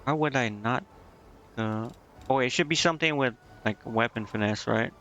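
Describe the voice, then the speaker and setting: A man's voice: medium pitch, normal speed, fairly bright tone, slight background noise. A man giving casual live gameplay commentary, thinking aloud to himself in a hesitant, muttering way. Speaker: game streamer.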